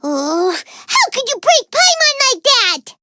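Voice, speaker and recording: A woman's voice: very high voice, big pitch swings, takes it slowly, heavy background noise, full character voice. A woman voicing a tiny, childlike fairy companion in a video game, greedy, naive and dramatic, with an exclamatory, whiny manner. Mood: indignant. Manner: speaks of self in third person, exclamatory, whiny. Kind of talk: video game character dialogue.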